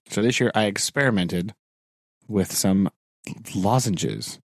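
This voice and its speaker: A man's voice: fairly low pitch, expressive, slow pace, gravelly, no echo. A man, a teacher co-hosting a podcast, chatting casually, laughing often and acting out his own thoughts. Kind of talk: casual chat. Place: home.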